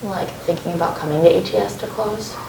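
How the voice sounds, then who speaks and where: A woman's voice: fairly low pitch, fast, gravelly, mellow, recorded from a distance, slight background noise. A young woman interviewing a driver, asking casual friendly questions in a chatty, upbeat way. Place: office lounge, indoors. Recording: roomy echo.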